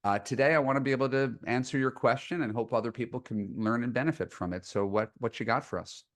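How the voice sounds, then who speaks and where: A man hosting a coaching call as a dating coach, speaking in measured, deliberate phrases. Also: mid-range voice. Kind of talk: podcast intro and coaching call.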